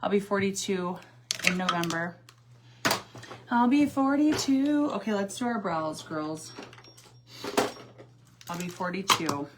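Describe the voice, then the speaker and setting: A woman in her forties talks casually through a livestream as she does her makeup. She is sassy and chatty, answers viewers' comments and laughs often, in a mid-pitched voice. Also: expressive, slow, pauses often, background noise. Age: 40s. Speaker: livestream host. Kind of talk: casual livestream chat while doing makeup.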